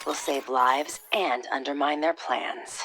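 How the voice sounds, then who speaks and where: An actress playing a resolute, cool-headed covert military operative in a video game, with a terse, no-nonsense delivery. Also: female voice, a bass voice, fairly even intonation, slow pace, fairly bright tone, heavily acted. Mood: focused. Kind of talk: video game cutscene acting.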